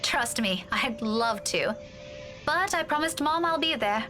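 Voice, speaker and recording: A woman playing an earnest, dutiful young woman in a visual novel game, conversational and gently coaxing. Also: on the high side, animated, unhurried. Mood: earnest, persuading. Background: game music.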